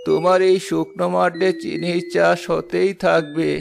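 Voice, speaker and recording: A deeply creaky, fairly high old man's voice acted by a man as a kindly cartoon sage. He is calm, fatherly and reassuring, speaking evenly over clearly audible background music. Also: a little slow. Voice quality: creaky, gravelly. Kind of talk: children's moral fairy-tale cartoon dubbing.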